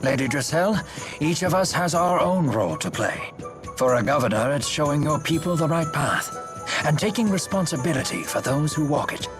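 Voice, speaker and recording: An actor playing a courteous, wise older male steward and strategist in a game, speaking formally and with measured care. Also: a little high, animated, close to an everyday voice. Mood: grave, composed. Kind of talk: English game dub acting. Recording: game soundtrack music behind the voice. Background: background music.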